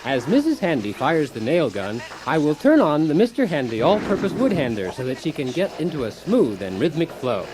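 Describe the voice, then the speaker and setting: A man acts out a chipper, accident-prone handyman husband in a comic radio play. His voice sits fairly high and swings widely as he gives theatrical, earnest instructions over clear background noise. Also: huge pitch swings, fairly quick, hardly any pauses. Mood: upbeat, instructive. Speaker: host of a spoof do-it-yourself radio show. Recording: clearly noisy, busy effects behind.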